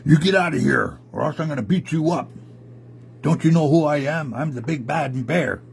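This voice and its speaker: A man's voice: a little high, big pitch swings, moderate pace, dark tone, a little hiss or hum, pitched above the performer's own voice. A man voicing a small, scrawny, angry young bully bear in a told story, blustering and threatening.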